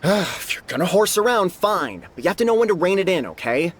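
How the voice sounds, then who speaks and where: Exasperated shopkeeper, animated and high-pitched. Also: male voice, brisk, husky, exaggerated voice. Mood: annoyed. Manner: scolding, weary, lecturing. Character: exasperated but forgiving male shop owner. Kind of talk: scripted video game voice acting.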